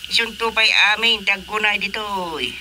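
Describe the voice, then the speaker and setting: An actor playing a concerned older village husband in an Ilocano radio drama, speaking with an Ilocano accent. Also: male voice, high-pitched, fairly even intonation, moderate pace, pushed and bright, a little hiss or hum, poor audio.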